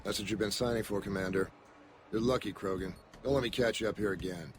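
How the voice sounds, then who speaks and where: An actor playing a stern police officer in a video game, curt and admonishing. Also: male voice, fairly low pitch, fairly even intonation, fast talker, music in the background, natural voice. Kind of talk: video game character dialogue.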